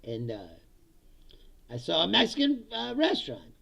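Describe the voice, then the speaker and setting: A man's voice: high voice, wildly swooping pitch, normal speed, gravelly, pushed and bright. A middle-aged man from Indiana chatting casually on a livestream, laughing often and slipping into mock voices.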